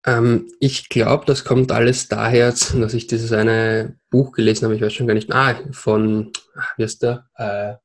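A man's voice: mid-range voice, level tone, unhurried. A man in his twenties from Vienna with an Austrian accent, a podcast guest who talks with many hesitations and mixes in English terms. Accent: Viennese Austrian German. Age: 20s. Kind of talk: podcast interview.

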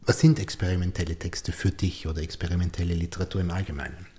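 Austrian man, low-pitched interviewer. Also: steady tone, fast talker, a little mellow. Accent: Austrian German. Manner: frequent backchannel, hesitant questions. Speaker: podcast host, interviewer. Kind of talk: conversational interview.

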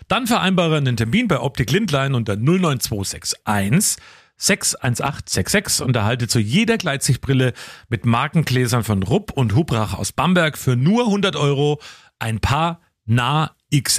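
A man's voice: mid-range voice, no echo, clean studio sound. A man, a host reading out a scripted advertisement. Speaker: host reading a sponsor spot. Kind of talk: scripted ad read.

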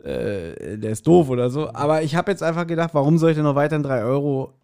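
A man chatting casually as a podcast host, telling anecdotes in an animated way and acting out quoted remarks. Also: a little high, fast talker, smooth. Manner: animated storytelling, laughs readily, acts out quoted remarks.

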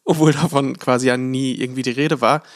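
A man's voice: mid-range voice, steady tone, brisk, no room sound. Rambling man on a dry mic. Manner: rambling, many fillers and hedges. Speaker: podcast co-host. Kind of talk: casual chat.

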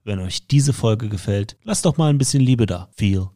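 A man hosting a sports podcast, upbeat and joking as he interviews a guest, tossing in quick asides. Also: lowish voice, animated, heavy background noise. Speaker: podcast host.

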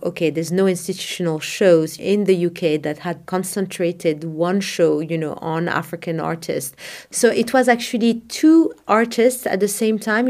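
A woman from Morocco with a lowish voice speaks in an interview about her path. She is middle-aged and reflective, and she often pauses on "you know" and restarts her sentences as she thinks aloud. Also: a little mellow. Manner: thoughtful, many "you know" fillers and restarts. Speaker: art fair founding director. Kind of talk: conversational interview answers.

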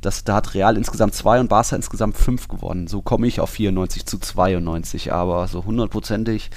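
A man's voice: on the low side, steady tone, fairly quick, no echo. A man hosting a football podcast, explaining things in an informal, conversational way. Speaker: podcast host. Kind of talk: casual football podcast talk.

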